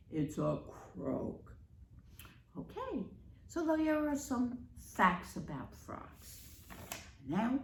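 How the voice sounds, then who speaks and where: A woman children's librarian reading aloud at storytime in a teacherly way, posing questions and pausing for answers. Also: a little high, a little mellow, echoey room, a little hiss or hum.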